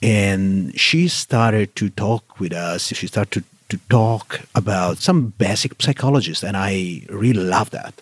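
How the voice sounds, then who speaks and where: A man from Italy with an Italian accent, a guest on a podcast telling his story in lively, slightly halting English. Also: lowish voice, husky, no room sound. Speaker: guest, safety director. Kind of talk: casual interview chat.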